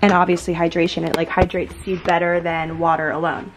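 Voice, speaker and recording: Chatty young woman vlogger, lowish voice. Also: level tone, fairly quick, slight background noise. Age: young adult. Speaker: lifestyle vlogger. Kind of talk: casual chatty vlog talk. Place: indoors at home and in a house.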